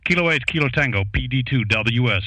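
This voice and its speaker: A man with a Dutch accent, a native Dutch speaker, making contact as an amateur radio operator, speaking English haltingly with many hesitations. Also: mid-range voice, lively intonation, noisy background. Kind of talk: ham radio contact chat. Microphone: close studio broadcast microphone.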